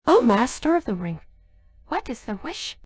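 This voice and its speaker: Husky synthetic genie girl, acted by a woman with swooping pitch. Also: very animated, normal speed, dry recording. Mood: formal. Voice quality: synthetic text-to-speech voice. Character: earnest, formal young female genie of a magic ring. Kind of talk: dubbed game cutscene dialogue by text-to-speech.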